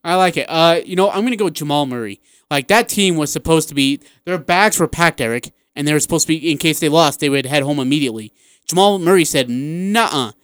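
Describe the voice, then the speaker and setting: An American man hosts sports talk radio in a smooth, resonant voice pitched slightly high. He speaks emphatically, repeating words for stress. The sound is dry and close, like a studio. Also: fast, a bit bright, no room sound.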